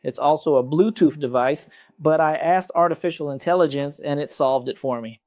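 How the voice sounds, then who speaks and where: Higher-pitched man giving a casual product demo. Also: moderate pace, a little mellow. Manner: conversational, addresses viewers directly. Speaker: product reviewer. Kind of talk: casual hands-on product demonstration.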